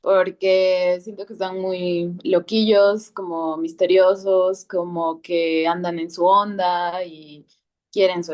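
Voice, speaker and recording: A woman from Oaxaca introducing herself on a video call, gentle and a bit hesitant. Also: on the low side. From Oaxaca, Mexico. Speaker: workshop participant. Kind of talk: casual self-introduction.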